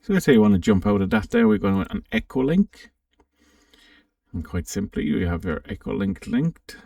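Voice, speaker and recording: A low male voice with a gravelly fry and lively, swooping intonation. He gives an informal software walkthrough, thinking aloud as he goes, recorded in a roomy space. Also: normal speed, clean studio sound. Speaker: amateur radio channel host. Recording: roomy, distant microphone.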